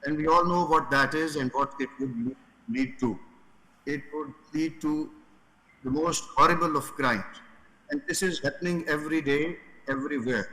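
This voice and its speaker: A man on a conference panel, talking haltingly with many fillers and restarts, from Pakistan. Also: a little high, bright, forceful tone. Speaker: panel speaker. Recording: reverberant conference hall.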